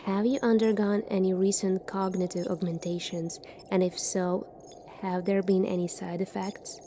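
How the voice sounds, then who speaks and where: A soft-spoken woman doing an ASMR roleplay as a calm military doctor, asking questions in a measured way. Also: mid-range voice, slight background noise. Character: calm seasoned future military doctor examining a space recruit.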